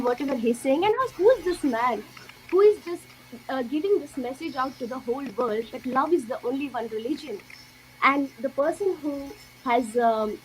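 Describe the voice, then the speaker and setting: A young woman from India sharing her spiritual story slowly and earnestly, with lots of fillers. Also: sits high, slight background noise, low-quality recording. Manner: slow, earnest, many fillers. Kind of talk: personal testimony in an interview.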